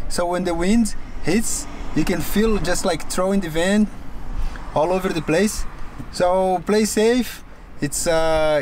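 A man in his thirties giving a casual camper van tour, speaking as a with a relaxed, halting manner and frequent restarts. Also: fairly high pitch, slight background noise. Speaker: vlog co-host. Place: inside and beside a camper van by the sea.

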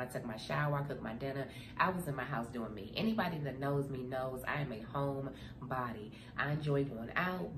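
Woman vlogger, stuffy nose, low voice, chatty storyteller, roomy echo. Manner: chatty, talks to viewers as "girl". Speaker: vlogger answering viewer questions. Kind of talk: casual chat, storytelling. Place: indoor room, seated before framed print. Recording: echoey room with background noise.

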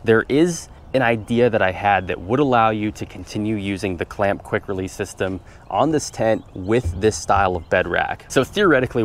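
Low-pitched male vlogger, methodical and practical how-to delivery, recorded outdoors with faint background noise. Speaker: vlogger showing his truck build. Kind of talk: casual how-to explanation.

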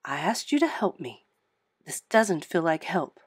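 A woman's voice: on the low side, very animated, unhurried, breathy edge, no echo, studio quality, heavily acted. A woman voicing a teasing, dramatic male ghost in a storybook, with a taunting manner. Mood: indignant, hurt.

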